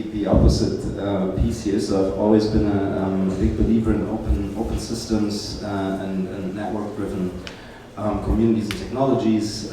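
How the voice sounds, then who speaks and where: A male venture investor talking on a panel in a measured way, filling pauses with "um". His voice sits lowish. It is heard from a distance in a very echoey hall, with a clear hum of ambient noise behind. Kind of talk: casual panel talk. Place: conference panel stage.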